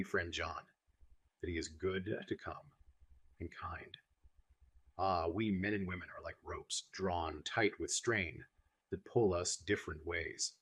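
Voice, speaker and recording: A man reading the lines of an old foreign professor who speaks slow, broken English, grieving yet philosophical. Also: low voice, fairly bright tone, husky, no echo, heavily acted. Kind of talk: audiobook reading.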